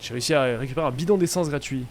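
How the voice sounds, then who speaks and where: A man's voice: on the high side, racing, a little mellow, faint music behind. A man playing a doctor in a roleplay game, chatting, joking and swearing casually. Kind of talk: in-character roleplay banter, then casual talk to chat.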